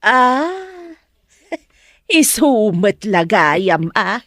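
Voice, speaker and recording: Casting: woman for nosy excitable servant, Filipino accent, high pitch, very dry sound. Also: drawn-out words, no echo, exaggerated voice. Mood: curious, excited. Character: excitable, nosy, gossiping female household helper. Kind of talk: Ilocano drama acting.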